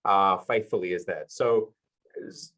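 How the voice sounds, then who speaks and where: Brief: man on a live panel, low-pitched, earnest and explanatory. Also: bright, forceful tone. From Australia. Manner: earnest, explanatory, halting with fillers. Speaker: panelist, language YouTuber. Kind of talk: live conference panel talk.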